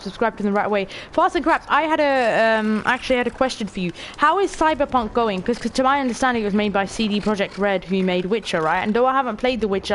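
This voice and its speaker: A woman in her late teens with a stuffy nose, casually narrating a game stream, chatty and excitable, swearing offhand and talking to her viewers. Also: fairly high pitch, a little hiss or hum. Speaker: gaming streamer. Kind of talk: casual let's-play commentary.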